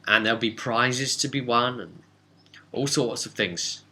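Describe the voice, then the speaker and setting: A man with a bright, forward voice at a middling pitch recounts a role-playing game session. He talks casually and rambles like a chatty storyteller, dropping into quoted dialogue as he goes. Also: a little slow. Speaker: game master and vidcast host.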